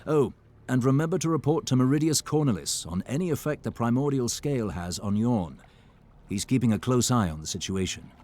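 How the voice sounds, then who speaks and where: A man playing a grave, analytical advisor in a fantasy game, measured and serious. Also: medium pitch, animated, darkish tone, slight background noise, lightly acted. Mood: businesslike.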